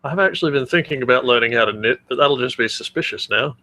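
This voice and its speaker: A man's voice: medium pitch, expressive, fast, sharp tone, no room sound. A man co-hosting a comedy podcast, chatting casually in a blunt, crude manner. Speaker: podcast co-host.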